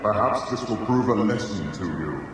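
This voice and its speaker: Man as a deep, echoing alien lord. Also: lively intonation, normal speed, background noise, lightly acted. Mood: solemn. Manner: solemn, pronouncing, imperious. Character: grave, stern, lordly alien Time Lord. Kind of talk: radio science fiction drama. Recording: cavernous reverb with music behind.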